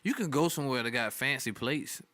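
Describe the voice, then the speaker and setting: Man, thirties, podcast host, bright voice, easygoing and jokey. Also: mid-range voice, brisk, no room sound. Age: 30s. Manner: laid-back, laughs often, Southern-tinged slang. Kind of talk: casual podcast chat.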